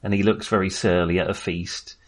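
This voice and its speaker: A man's voice: deep voice, little pitch movement, brisk. A man co-hosting a casual film discussion, talking in a hesitant, self-deprecating way. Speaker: podcast co-host reviewing films.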